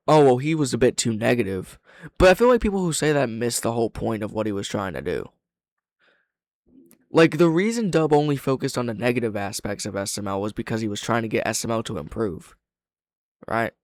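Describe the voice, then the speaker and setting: A man's voice: medium pitch, no echo, clean studio sound. A man reacting off the cuff as a reviewer, blunt and opinionated, swearing freely as he talks. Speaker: reaction host and video reviewer. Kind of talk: casual off-the-cuff reaction commentary.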